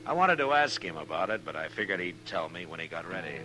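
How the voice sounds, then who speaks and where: An actor playing a calm, watchful frontier marshal in a 1950s radio western, measured and plain-spoken, with an American accent. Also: male voice, low-pitched, music in the background, low-quality recording. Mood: reflective, uneasy. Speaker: actor playing the lead and narrator. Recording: vintage 1950s radio recording.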